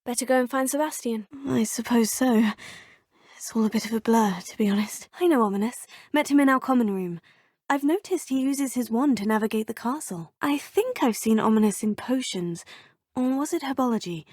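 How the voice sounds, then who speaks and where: A woman's voice: fairly high pitch, brisk, a little mellow, dry recording, studio quality, natural voice. A woman voicing a curious schoolgirl witch. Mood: inquisitive. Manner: polite, inquisitive and earnest, often murmuring to herself. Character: curious teenage girl wizarding student. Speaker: player character. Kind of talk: video game voice lines. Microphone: close studio mic.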